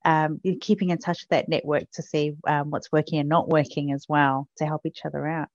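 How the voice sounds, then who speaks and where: A low-pitched woman hosting a podcast chat. Her voice is notably deep for a woman, and the sound is dry and a little dull and lo-fi. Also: little pitch movement, brisk, darkish tone, dry recording. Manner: supportive, frequent backchannel replies. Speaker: podcast host. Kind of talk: casual interview.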